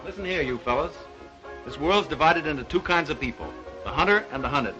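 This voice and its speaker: An actor playing a confident young big game hunter in 1930s film style, breezy and self-assured. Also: male voice, a little high, animated, a little fast, highs cut off, music in the background, close to an everyday voice. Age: young adult.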